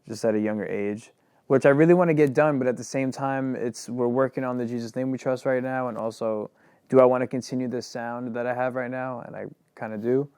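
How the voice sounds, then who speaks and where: Young rapper guy chatting about his songs, lots of "like", smooth voice. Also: medium pitch, steady tone, fairly quick, darkish tone, dry recording, clean studio sound. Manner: loose and rambling, heavy on "like". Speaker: rapper and singer. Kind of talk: casual interview.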